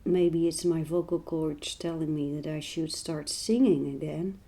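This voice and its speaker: A woman with a slightly hoarse voice, talking gently and unhurriedly as she narrates a painting tutorial, sometimes dropping to a near whisper. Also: sits low, fairly even intonation. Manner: soft-spoken, unhurried, drifting into quiet near-whispers. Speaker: art tutorial host and voice teacher.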